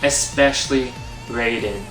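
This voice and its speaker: A man's voice: mid-range voice, unhurried. A young man hosting a comedic movie review, sarcastic and exasperated. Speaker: host. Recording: echoey, distant, under music.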